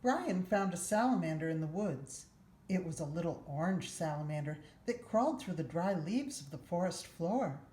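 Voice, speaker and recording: A woman's voice: fairly low pitch, animated, moderate pace, mellow. A woman narrating a children's picture book aloud. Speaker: social worker reading a story aloud. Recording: roomy echo, faint background noise.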